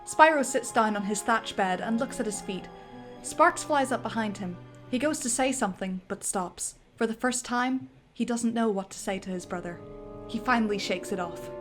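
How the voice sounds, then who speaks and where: A woman's voice: a little high, normal speed. A woman narrating stage directions for a fan dramatic reading in a vivid storybook manner, over faint music.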